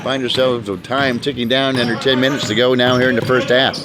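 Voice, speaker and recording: A man announcing basketball play by play in a brisk manner, calling the action as it happens. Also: mid-range voice, steady tone. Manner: brisk, talks over the action. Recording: loud crowd and bouncing balls behind. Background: gym crowd and basketball bounces.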